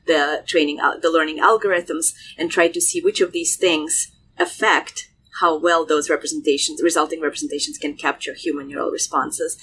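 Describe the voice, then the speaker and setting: Guest expert, Russian-born woman, lowish voice, animated, faint rumble behind. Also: rough recording. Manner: animated, many fillers and restarts. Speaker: neuroscientist guest. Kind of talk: conversational expert explanation.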